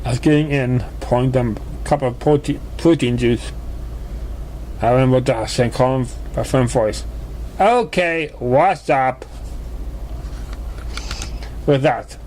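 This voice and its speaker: A male amateur reader narrates a story in a halting way, tripping over words as he goes. His pitch is average for a man. The recording has clear background noise. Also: fairly even intonation, mellow. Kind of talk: scripted fan fiction narration.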